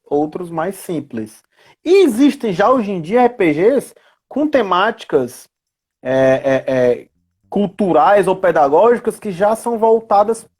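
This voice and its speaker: A man's voice: on the high side, darkish tone. A man with a Brazilian accent speaking as a guest expert in a casual live chat, explaining didactically with frequent tag questions. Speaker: guest expert on tabletop role-playing games.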